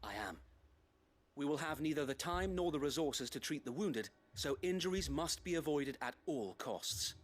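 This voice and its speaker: An actor playing an earnest, resolute knight commander, speaking formally and precisely in a dramatic fantasy game. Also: male voice, a little high, lively intonation, normal speed. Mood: resolute, measured. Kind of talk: dramatic video game acting.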